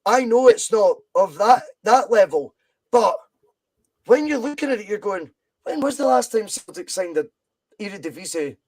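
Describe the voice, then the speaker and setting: A man's voice: sits high, lively intonation, moderate pace, darkish tone. A Scottish man hosting a podcast, talking football with passion and repeating points for emphasis. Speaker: podcast host. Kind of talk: casual football chat.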